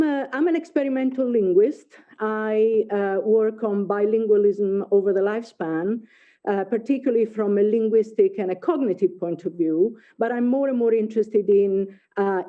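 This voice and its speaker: A middle-aged woman from Italy with an Italian accent, a native Italian speaker giving an academic talk over a video call, hesitant with many fillers and restarts. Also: mid-range voice. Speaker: invited speaker, linguist. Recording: phone-like video call audio.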